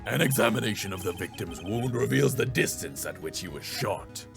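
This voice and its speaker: A man's voice: fairly low pitch, expressive, moderate pace, gravelly, music in the background, voice pushed down. A man voicing a haughty, ruthless prosecutor in a video game, condescending and theatrical in his emphasis. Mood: smug.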